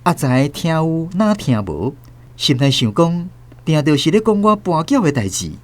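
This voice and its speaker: A man's voice: on the high side, sing-song, normal speed. A man with a Taiwanese accent narrating a radio drama as a scripted, dramatic storyteller.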